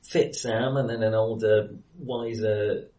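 A man's voice: lowish voice, steady tone, slow pace, dark tone. A man in a casual film discussion as a co-host, conversational and given to hedging asides. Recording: roomy echo, distant from mic.

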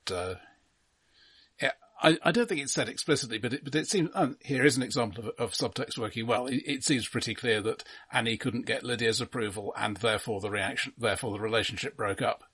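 Slightly husky man analysing films. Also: lowish voice, brisk, fairly bright tone. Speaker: film podcast host. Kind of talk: casual film discussion.

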